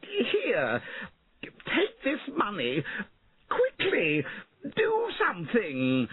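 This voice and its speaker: A man voicing an angry, cruel rich landowner in a storybook, blustering and shrieking with drawn-out cries. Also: high-pitched, huge pitch swings, husky, no room sound, phone-quality sound, voice pushed up, heavily acted. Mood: desperate, demanding.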